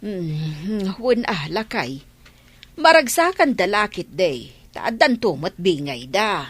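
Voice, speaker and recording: A woman's voice: mid-range voice, sing-song, slow pace, husky, no echo, heavily acted. An actress with an Ilocano Filipino accent playing a practical village mother of grown children in a radio drama. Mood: matter-of-fact.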